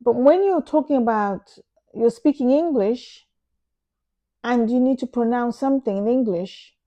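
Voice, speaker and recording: A Nigerian woman, a native Igbo speaker, talking to camera in an earnest, emphatic way, explaining her views at a measured pace. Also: on the high side, mellow, highs cut off. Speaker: vlogger. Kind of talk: opinion monologue to camera.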